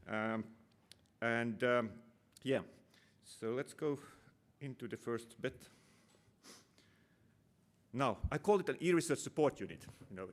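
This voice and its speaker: Rambling middle-aged man giving a conference talk. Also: medium pitch. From Finland. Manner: casual, rambling, self-deprecating, many fillers and restarts. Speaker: conference speaker, operations manager. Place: conference room.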